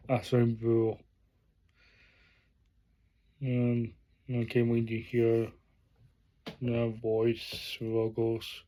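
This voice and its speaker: A man talking casually through an unboxing vlog, halting with many fillers and restarts, praising what he shows. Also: medium pitch. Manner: plain enthusiastic praise in a flat delivery. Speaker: vlogger.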